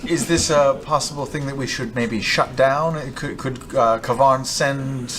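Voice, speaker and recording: A man's voice: medium pitch, a little slow, background noise. A man playing a tabletop game, chatting casually with the group.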